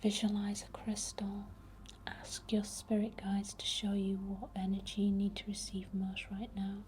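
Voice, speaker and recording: A woman whispering softly and soothingly in an unhurried ASMR guided meditation, leading a healing session. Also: medium pitch, monotone, a little hiss or hum.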